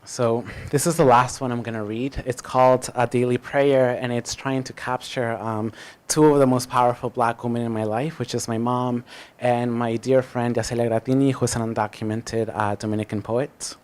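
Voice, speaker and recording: A man reciting spoken-word poetry as a poet, with deliberate, emphatic phrasing and dramatic pauses. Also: mid-range voice, flat delivery.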